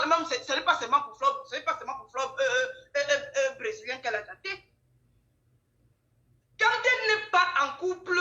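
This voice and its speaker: A high-pitched woman chats casually in an echoey room. She tells gossip in an animated way, circling back over phrases to drive them home. Her voice is bright and forceful. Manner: animated storytelling, repeats phrases for emphasis.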